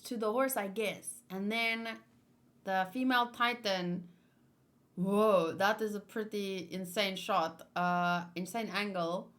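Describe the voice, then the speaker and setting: A woman livestreaming in a casual, expressive and playful way, chatting and commenting as she reads aloud. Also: mid-range voice, takes it slowly. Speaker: streamer hosting a manga read-along.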